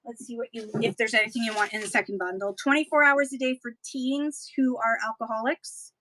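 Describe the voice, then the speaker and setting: A woman's voice: on the high side, fairly even intonation, fairly quick, sharp tone, no echo, rough recording. Chatty woman selling books on a live stream. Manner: chatty, playful sing-song asides and old-fashioned exclamations. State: voice getting hoarse. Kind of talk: casual live auction selling.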